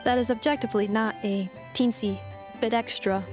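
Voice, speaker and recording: A woman voicing a calm, strict robot caretaker in a video game, with a formal, measured, matter-of-fact delivery. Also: a little high, over music, close to an everyday voice. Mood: strict, precise.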